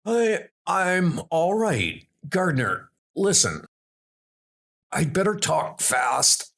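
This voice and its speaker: A man's voice: on the high side, very animated, very slow, gravelly, a bit bright, pitched above the performer's own voice, heavily acted. A man voicing a wounded male scientist, weak from blood loss, telling his story in an earnest, wondering way. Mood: urgent. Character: wounded male scientist, shaken but determined.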